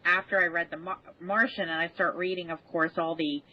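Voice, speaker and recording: A woman with a fairly low voice talks casually on a panel. She tells her stories in an animated way, with small chuckles between phrases. The recording is a little dull and lo-fi. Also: brisk. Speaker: podcast guest who helped write a space radio drama. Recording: dull, band-limited lo-fi audio.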